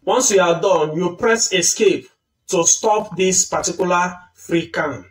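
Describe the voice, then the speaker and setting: Man explaining software step by step. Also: high voice, measured pace, distant mic, low-quality recording. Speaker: tutorial host. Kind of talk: screen-recorded software tutorial.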